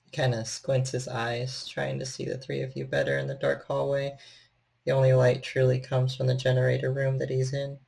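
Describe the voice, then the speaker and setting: A man narrating a tabletop horror game as storyteller, in a measured, descriptive manner. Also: medium pitch, completely flat delivery, creaky, darkish tone.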